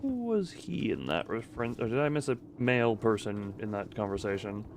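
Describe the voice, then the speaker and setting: A man's voice: on the low side, very animated, brisk, gravelly, noisy background. A man streaming a video game with casual, wry commentary, often muttering to himself as he plays.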